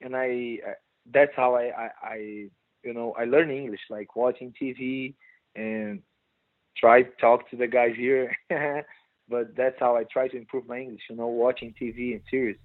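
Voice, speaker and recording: A Brazilian man with a Portuguese accent, a guest speaking halting non-native English with many restarts and "you know". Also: mid-range voice, level tone, no room sound, phone-quality sound. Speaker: guest fighter. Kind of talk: casual interview chat.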